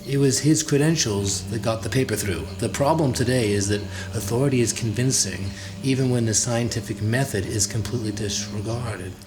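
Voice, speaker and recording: A man's voice: medium pitch, moderate pace, noisy background, close to an everyday voice. Man acting a composed, wry young man. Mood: wry, explaining.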